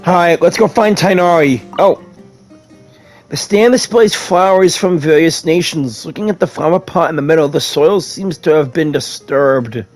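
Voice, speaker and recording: A streamer talks casually through a game, reading dialogue aloud. The voice is medium in pitch, playful and informal, with music playing softly behind. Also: normal speed. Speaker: gamer, streamer.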